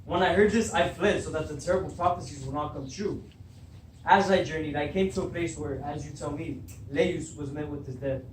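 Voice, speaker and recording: A man's voice: a little high, a little fast, lightly acted. A young man acting a troubled, hot-tempered king in a readers theatre Greek tragedy, full of fear and guilt. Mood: grave, recounting. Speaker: student actor. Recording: distant echoey room recording with background noise.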